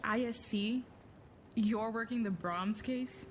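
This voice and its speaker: A woman's voice: on the high side, slow pace, a little hiss or hum. A woman playing a guarded, bitter secret agent in an audio drama, terse and sardonic. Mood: guarded, curt. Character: guarded, bitter, curt female covert agent.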